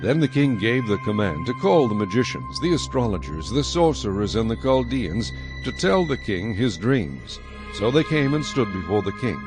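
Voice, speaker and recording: Low-voiced solemn man narrating scripture. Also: big pitch swings, normal speed. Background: faint music.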